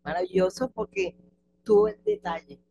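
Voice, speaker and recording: A woman's voice: medium pitch, big pitch swings, moderate pace, vocal fry, warm tone, slight background noise, low-quality recording. A woman moderating a conference session, speaking informally and chattily as she introduces the next speaker. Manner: chatty, informal, with fillers and restarts. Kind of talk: spontaneous introduction.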